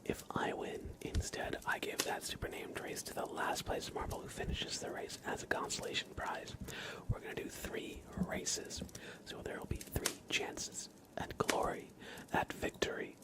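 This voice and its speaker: A man hosting an ASMR livestream, chatting casually and gently with viewers in a soft, breathy whisper. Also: moderate pace, rough recording. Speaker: live ASMR streamer and host.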